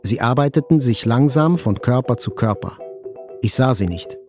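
An actor playing an attack survivor, telling events in a sober, precise, scripted literary narration. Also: male voice, mid-range voice, expressive, moderate pace, a little mellow, soft background music, natural voice. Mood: sober, detached.

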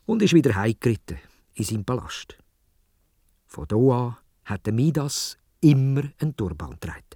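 A man's voice: medium pitch, very animated, moderate pace, mellow, husky, dry recording, studio quality. A man with a Swiss German accent telling a story for children in a dramatic way, addressing his listeners directly.

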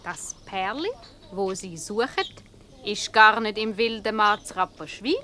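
An actress playing a playful, cunning, flirtatious wife in a radio comedy, teasing and self-assured, with a Swiss German accent. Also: female voice, mid-range voice, unhurried, sharp tone, slight background noise. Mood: sly, flirtatious. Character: playful, cunning, flirtatious married woman fooling a detective.

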